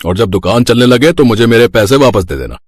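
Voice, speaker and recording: A man voicing a gruff but kindly middle-aged grain merchant in a children's moral story, plain-spoken and brotherly. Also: medium pitch, sing-song, brisk, no room sound, clean studio sound. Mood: warmly impressed.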